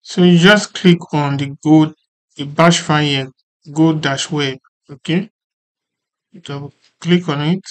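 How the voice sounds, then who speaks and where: A tutorial host explaining software step by step in an informal way, repeating points and often checking that the listener follows. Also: medium pitch, steady tone, unhurried, pauses often. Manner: repetitive, often checking for understanding.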